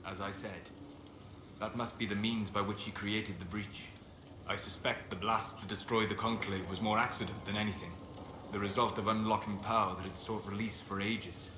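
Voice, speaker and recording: An actor playing a calm, reserved elven mage advisor in a video game, speaking in measured, formal phrases. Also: male voice, on the low side, natural voice. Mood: measured, courteous. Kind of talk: video game dialogue acting. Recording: roomy echo, clear background noise.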